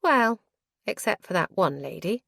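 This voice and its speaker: A woman's voice: medium pitch, big pitch swings, unhurried, creaky, sharp tone, no room sound, clean studio sound, heavily acted. A woman voicing an earnest young noble wife who speaks in an eager, insistent way.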